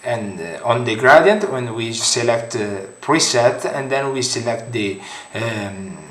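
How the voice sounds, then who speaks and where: A young man with an Italian accent talks slowly through a screen tutorial. He hesitates often and restarts his phrases. His voice carries a roomy echo. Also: medium pitch, animated, a bit bright, a little hiss or hum. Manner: halting, many fillers and restarts. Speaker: software tutorial creator. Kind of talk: screen-recorded software tutorial.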